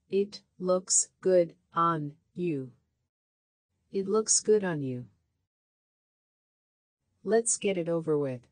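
Slow, careful woman reading phrases. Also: lowish voice, darkish tone, no room sound. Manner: clear, careful enunciation, each phrase repeated. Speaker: language course speaker.

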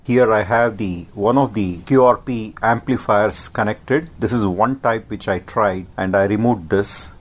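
An older man giving an informal technical demonstration as a radio hobbyist, speaking in a measured, matter-of-fact way. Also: on the low side. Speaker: amateur radio hobbyist presenting. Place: indoor home radio workshop. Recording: clearly noisy home workshop recording.

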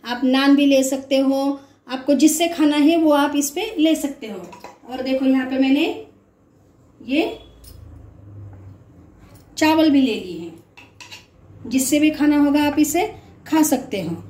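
A woman's voice: high voice, slow, pauses often, warm tone. A woman hosting a casual home recipe demonstration, explaining each step simply in instructional fashion. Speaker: home cook, cooking show host. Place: home kitchen, indoors. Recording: echoey room.

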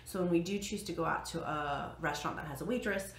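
Low-pitched American woman in an echoey room. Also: fast talker. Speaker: vlog host giving budget diet tips. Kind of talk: casual vlog chat to camera. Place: living room at home, indoors. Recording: echoey living room with background noise.

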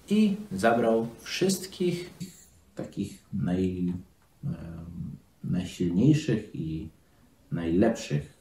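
Man, thirties, low-pitched, animated intonation, halting children's storyteller, simple wording. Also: gravelly, slight background noise. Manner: halting, simply worded, explanatory. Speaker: presenter telling a Bible story to children. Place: small office, seated at desk. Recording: roomy indoor echo.

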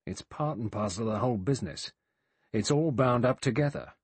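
A man's voice: mid-range voice, expressive, fairly quick, breathy edge, no room sound. A man voicing a blunt, suspicious former police inspector, dry and emphatic, in an audiobook.

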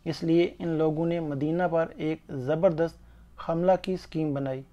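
A man's voice: on the high side, warm tone. A man reading a scripted account of religious history in a formal, earnest storytelling manner. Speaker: narrator. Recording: dull, muffled lo-fi voice-over.

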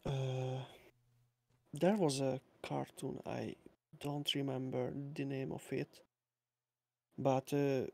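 A young man from Hungary, a Hungarian speaker, talking slowly with many fillers as a guest on a casual online interview. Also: mid-range voice, vocal fry, dark tone. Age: young adult. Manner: slow and hesitant, many uh fillers, nervous laughs. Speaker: guest musician. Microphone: home mic with external sound card.

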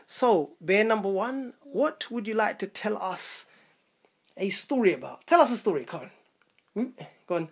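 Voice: mid-range voice, lively intonation, moderate pace, breathy edge. Someone playfully chatting with children as host, coaxing them along with short repeated urgings. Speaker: parent-like host prompting children's story. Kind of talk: playful casual chat with children. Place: small bedroom, indoors.

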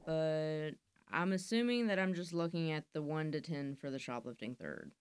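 A woman's voice: low voice, normal speed, smooth, dry recording. A woman, a guest talking bluntly and matter-of-factly in a candid interview, recorded on a studio mic. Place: podcast studio, indoors. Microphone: studio mic on boom arm.

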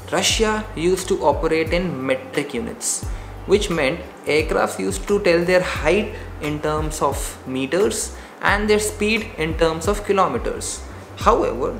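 A man's voice: on the high side, vocal fry, a bit bright. Earnest young Indian man explaining. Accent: Indian English. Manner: earnest, gestures a lot while explaining. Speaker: pilot explaining aviation on his channel. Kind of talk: explainer to camera. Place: indoor room with shelves. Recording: roomy echo, faint music behind.

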